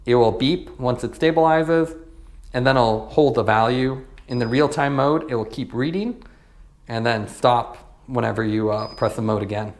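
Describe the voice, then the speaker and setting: Guy doing a casual product review, mid pitched voice, plain matter of fact explaining. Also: moderate pace.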